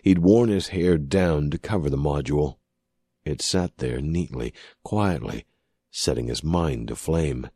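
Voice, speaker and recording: A male narrator reads dramatic fiction in an extremely deep voice with a husky grain. His phrasing is measured and deliberate, and the sound is dry and close. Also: warm tone, dry recording. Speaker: audiobook narrator.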